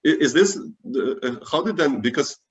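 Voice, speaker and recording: A man's voice: mid-range voice, expressive, moderate pace. A man hosting a casual interview, asking questions and adding short encouraging remarks. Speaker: interviewer, host.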